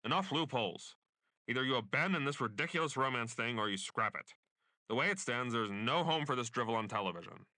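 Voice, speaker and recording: A man acts out a domineering bureaucrat of a dystopian regime in a radio drama. His delivery is bright and forceful, swinging widely in pitch as he lectures with pompous scorn. The sound is dry and close. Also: lively intonation, fairly quick, dry recording. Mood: dismissive. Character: pompous, bigoted state censor bureaucrat, domineering older man. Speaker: voice actor. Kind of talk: dystopian radio play acting.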